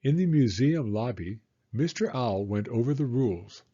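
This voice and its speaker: A man reading a children's storybook aloud in an expressive, deliberate storytelling manner. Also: medium pitch, breathy edge, dull audio. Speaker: storybook reader. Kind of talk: children's read-aloud narration.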